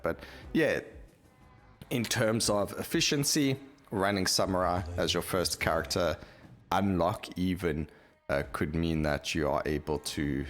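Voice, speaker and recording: A man giving casual, enthusiastic gameplay commentary as the host of a gaming video, explaining things informally. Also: low-pitched.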